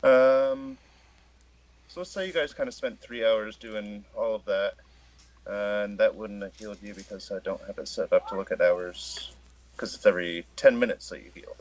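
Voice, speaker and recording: A man casually refereeing a tabletop game as game master, halting and thinking aloud. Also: fairly low pitch.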